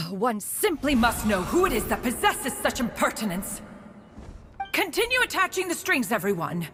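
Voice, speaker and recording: A woman's voice: fairly high pitch, sing-song, moderate pace, a bit bright, faint music behind. A woman voicing a proud, formal crane spirit in a game, who speaks in a lofty, archaic way. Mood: indignant. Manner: lofty, refers to herself as "one". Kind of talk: game voice acting.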